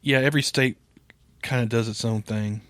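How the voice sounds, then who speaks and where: A man's voice: mid-range voice, steady tone, normal speed, a bit bright, dry recording. An American man hosting a casual podcast chat, dryly teasing and chipping in with short remarks. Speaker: podcast host.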